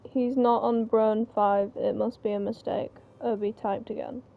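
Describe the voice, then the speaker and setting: A woman with a creaky voice reading a story script aloud informally, stumbling a little over the lines. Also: on the high side, flat delivery, unhurried. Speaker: reader of the narration. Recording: slightly muffled mic, faint background noise.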